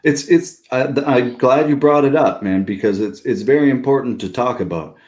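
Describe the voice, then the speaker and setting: A man's voice: mid-range voice, animated, normal speed, a little mellow. A man talking earnestly in a casual podcast chat, often calling the other speaker "man".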